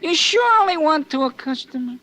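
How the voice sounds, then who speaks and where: High, sharp-voiced cagey cabbie. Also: male voice, animated, brisk, heavily acted. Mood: cagey, wisecracking. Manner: wisecracking, slangy, haggling. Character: cagey, money-hungry Brooklyn cab driver. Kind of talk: 1940s radio acting. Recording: noisy vintage 1940s radio broadcast.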